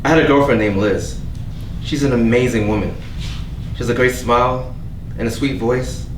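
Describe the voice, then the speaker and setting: A kind, quietly wounded optimist acted by a man in a staged play reading, sincere and a little halting in a medium-pitched voice. He is heard from across a reverberant room with faint background noise. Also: close to an everyday voice. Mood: gentle, earnest. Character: gentle, earnest, hopeful stranger named Avery, quietly wounded. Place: theatre staged reading, recorded from a distance. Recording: echoey room, distant mic, background noise.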